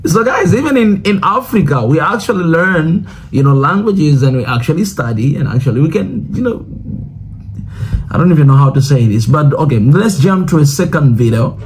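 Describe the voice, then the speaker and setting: A man's voice: a little high, lively intonation, moderate pace, slight background noise, low-quality recording. A man from Tanzania, a Swahili speaker, hosting a reaction video in a loose, rambling way and often asking "you know what I'm saying". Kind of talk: casual commentary reacting to news clips.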